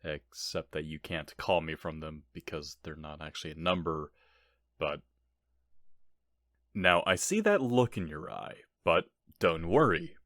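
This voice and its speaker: A man's voice: very deep voice, lively intonation, measured pace, a bit bright, dry recording, studio quality, exaggerated voice. A man playing a nervous, bumbling burglar in an audio roleplay, stammering and bluffing with theatrical emphasis and indignation. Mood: wheedling. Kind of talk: ASMR audio roleplay acting.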